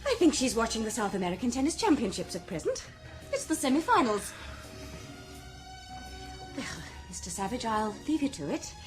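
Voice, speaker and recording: Prim bossy nurse. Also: female voice, fairly high pitch, very animated, low-quality recording. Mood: breezy. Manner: prim, bossy, cheerfully brisk. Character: brisk officious hospital nurse. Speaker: hospital nurse. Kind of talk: 1990s radio drama acting. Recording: radio drama with music underneath.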